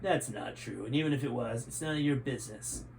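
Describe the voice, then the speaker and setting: Man acting secretive scowling villain, menacing, strained, mid-pitched, adventure storybook, faint noise. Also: a little fast, vocal fry, a little mellow, close to an everyday voice. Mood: angry. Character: scowling, secretive male schemer, threatening and short-tempered.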